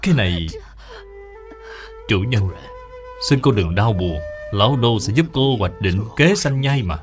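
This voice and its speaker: A man's voice: lowish voice, animated, measured pace, soft background music. A man voicing a loyal old male servant in a cartoon, deferential and earnest. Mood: earnest, resolute.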